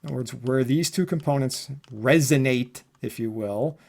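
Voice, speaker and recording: Male professor, mid-pitched voice, conversational lecture delivery with frequent check-in tags. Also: moderate pace, creaky, darkish tone. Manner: conversational, often adding check words like "right?" and "okay?". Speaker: electronics professor giving a lesson. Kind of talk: informal lecture explaining equations.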